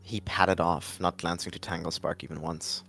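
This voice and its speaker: A man's voice: sits low, steady tone, moderate pace, gravelly, sharp tone. A man narrating an animal fantasy roleplay in the third person, as a storyteller. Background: faint music.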